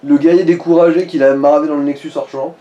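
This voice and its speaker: A man's voice: on the high side, fairly even intonation, fast, warm tone, highs cut off. A man in casual gaming chat, talking in a crude, slangy and sardonic way. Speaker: video game commentator. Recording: noticeable background noise. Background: background noise.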